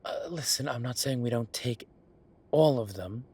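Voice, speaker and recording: Man acting an easygoing, husky chef. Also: medium pitch, expressive, moderate pace, a little mellow. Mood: explaining, persuasive. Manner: conversational, musing, explanatory. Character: easygoing male chef planning restaurant business with his partner. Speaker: voice actor in audio roleplay. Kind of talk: one-sided roleplay acting, casual chat.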